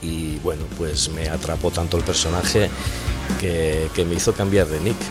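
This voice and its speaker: A man's voice: very deep voice, level tone, moderate pace. A man, a guest on a radio chat, talking in a hesitant way with many fillers. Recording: music playing under the voice.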